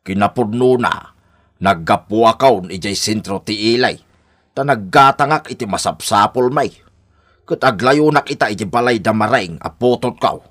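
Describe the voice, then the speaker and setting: An actor playing an older visiting in-law in a radio comedy drama, polite and explanatory in delivery. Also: male voice, on the low side, moderate pace, a bit bright. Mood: sociable.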